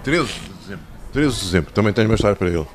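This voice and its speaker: An older man with a European Portuguese accent and a slightly hoarse voice, talking to schoolchildren as an author, conversational and didactic, repeating phrases for emphasis into a handheld microphone. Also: lowish voice, lively intonation, rapid-fire, crowd noise. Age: 60s or older. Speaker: children's author. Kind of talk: talking and telling stories to schoolchildren. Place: covered outdoor tent in a town square.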